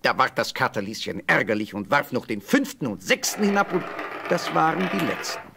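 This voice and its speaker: Male fairy-tale narrator, medium pitch. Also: very animated, a little fast, vocal fry, bright, forceful tone, slightly rough. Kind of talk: scripted fairy-tale storytelling.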